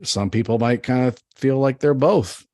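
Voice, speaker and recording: A man's voice: medium pitch, moderate pace, a little mellow, no room sound, clean studio sound. A man co-hosting a podcast, rambling in casual chat full of fillers. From United States. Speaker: podcast co-host.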